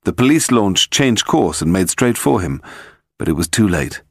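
A man's voice: on the low side, big pitch swings, moderate pace, gravelly, husky, no room sound, clean studio sound. A man narrating a mystery novel as a scripted audiobook reading.

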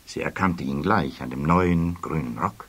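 A man's voice: very deep voice, unhurried, breathy edge. A man narrating a children's fairy-tale audio play as a scripted storyteller. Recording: music behind, children's cassette production.

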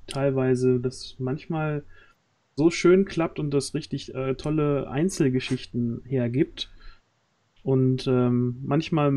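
A man in his thirties with a mellow, soft-edged voice hosts a casual stream. He feels his way through sentences with hesitation, close to a desk microphone. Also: medium pitch. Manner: hesitant, searching for words. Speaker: stream host. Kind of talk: casual livestream chat. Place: indoors, at home on a group video call. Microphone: close desk microphone on boom arm.

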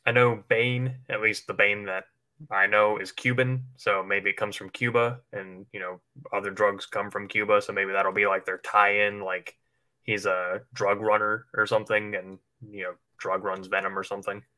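A man's voice: lowish voice, level tone, moderate pace, pushed and bright. A man co-hosting a livestream, chatting casually in a rambling, informal way and swearing now and then. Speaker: livestream co-host. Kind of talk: casual chat speculating about films.

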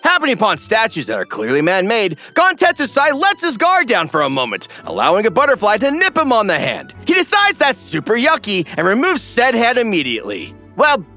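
A man's voice: sits high, wildly swooping pitch, a little fast, few pauses, sharp tone, soft background music. A man giving wry, playful, exaggerated narration for a scripted comedy recap.

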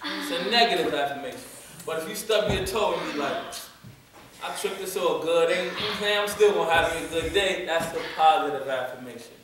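A young man leading a youth poetry workshop, talking casually and encouragingly, repeating an affirmation slowly for the group. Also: a little high, animated. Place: large community room with hard walls. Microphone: far camera. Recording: echoey room from far off, noisy.

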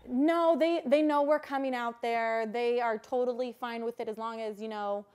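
A woman's voice: fairly high pitch, fairly even intonation, normal speed, darkish tone, clean tone. A woman in her twenties improvising a prank call as a producer, gushing and swearing freely, recorded in a living room. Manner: gushing, profane. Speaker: prank caller.

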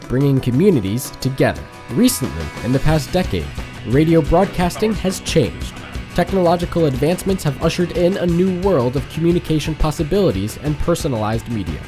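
A young man reads scripted documentary narration. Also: a little high. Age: young adult. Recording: music bed under narration.

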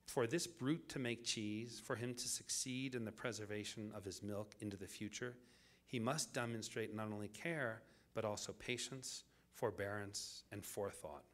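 A man delivering a scripted public lecture as the lecturer, in deliberate, measured phrases with dramatic pauses. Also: lowish voice, fairly bright tone.

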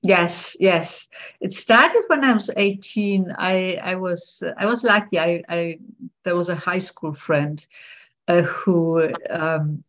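A woman's voice: lowish voice, slow, a bit bright. An older woman from Poland, a guest on a video call interview, speaking earnestly with many small restarts and repeated words. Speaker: guest author. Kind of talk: casual interview chat. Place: bright room at home. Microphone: earbuds on a video call. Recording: dull lo-fi video call audio.

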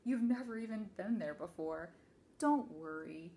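A woman's voice: medium pitch, animated, measured pace, dark tone, close to an everyday voice. A woman voicing a patient but firm, coaxing husband in a storybook.

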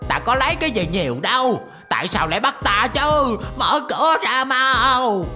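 A woman voicing a defiant, indignant thieving shark in a children's cartoon, with a forceful, demanding delivery. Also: on the high side, animated, normal speed, soft background music. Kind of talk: children's cartoon villain acting.

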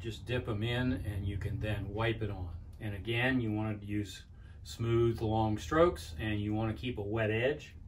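An older man giving a plainspoken woodworking how-to in his home workshop, pausing with "uh" as he explains. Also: fairly low pitch, distant mic, a little hiss or hum. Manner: plainspoken, with frequent "uh" pauses and restarts. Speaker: woodworking instructor. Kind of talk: casual how-to demonstration. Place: cluttered home workshop, indoors. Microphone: camera at a distance.

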